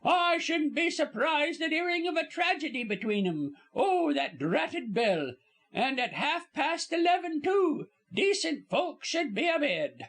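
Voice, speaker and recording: A man's voice: extremely high pitch, very animated, measured pace, fairly bright tone, pitched above the performer's own voice, heavily acted. A man voicing an indignant, gossipy older Cockney servant woman, wordy and emphatic, dropping aitches, in an audiobook story.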